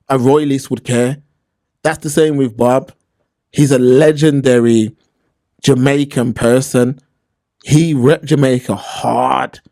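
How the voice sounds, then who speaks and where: A man's voice: mid-range voice, darkish tone. A man with a London accent giving opinions in casual podcast talk, slangy and emphatic, repeating points for stress. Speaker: podcast host.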